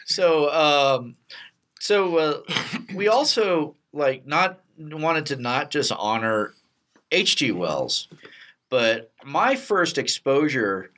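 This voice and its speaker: A middle-aged man from Texas with an American accent hosts a casual behind-the-scenes chat, telling stories in a halting, rambling way. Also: on the high side, big pitch swings, a bit bright. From Texas, United States. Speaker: podcast host and radio play producer.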